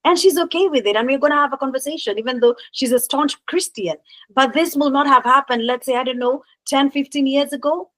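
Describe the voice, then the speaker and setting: A woman moderating a panel, unhurried and full of small fillers. Her voice sits a little high, and the recording is dry and close. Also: a bit bright, no echo. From Kenya. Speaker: host and moderator.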